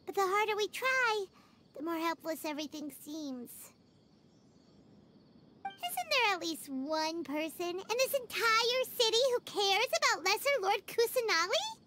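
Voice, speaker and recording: A woman voicing a small, excitable childlike fairy companion in a video game, squeaky and quick to exclaim. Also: noisy background, heavily acted. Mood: frustrated. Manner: excitable, exclaiming, speaks of self in third person. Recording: music behind the voice. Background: background music.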